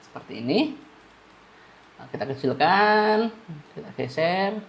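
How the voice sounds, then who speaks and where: A man's voice: high-pitched, animated, a little slow, vocal fry, a little hiss or hum. Male market analyst, congested nasal voice, casual repetitive walkthrough of charts. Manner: casual, repeats key phrases, addresses viewers as friends. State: stuffy-nosed, under the weather. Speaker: trading analyst.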